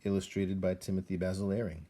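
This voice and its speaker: Deep, dark, husky male storyteller. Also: level tone. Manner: measured, dramatic, addresses the listener. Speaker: read-aloud narrator. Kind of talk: expressive scripted storybook narration.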